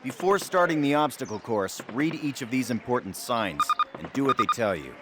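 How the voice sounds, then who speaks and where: An actor playing a stern American army captain in a video game, with a commanding, clipped, authoritative delivery. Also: male voice, mid-range voice, lively intonation, normal speed, noisy background, lightly acted. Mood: brisk, instructing. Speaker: military commanding officer. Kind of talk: video game military voice acting.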